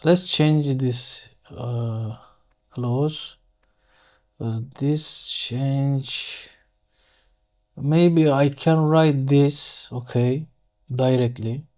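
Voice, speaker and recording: A man with a Turkish accent, a native Turkish speaker, giving an unscripted step-by-step software tutorial, thinking aloud haltingly and correcting himself as he goes. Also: medium pitch, steady tone. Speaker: engineering software tutorial instructor. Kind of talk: unscripted screen-share tutorial, step-by-step explanation.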